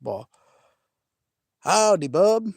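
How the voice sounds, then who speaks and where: A man's voice: high-pitched, sing-song, drawn-out words, gravelly, dry recording, clean studio sound. A middle-aged man from Maine with a faint Maine accent, chatting casually with viewers outdoors by a lake and rambling with easy laughs. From Maine, New England. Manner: chatty, rambling, laughs easily, frequent restarts. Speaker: vlogger. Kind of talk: casual live chat with viewers. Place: outdoors by a large lake at sunset. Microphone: phone camera held by hand.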